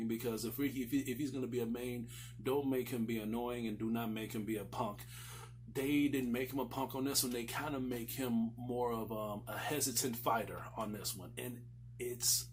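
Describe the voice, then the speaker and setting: A man's voice: mid-range voice, slight background noise. A man reviewing a series in a casual, sweary, emphatic way, rambling and repeating himself for stress. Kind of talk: casual, profanity-laced review commentary.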